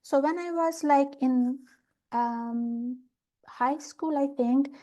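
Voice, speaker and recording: A middle-aged woman from Iran calmly explaining pranic healing ideas in an interview, pausing often with fillers and restarts. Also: high voice. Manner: calm, thoughtful, with many fillers and restarts.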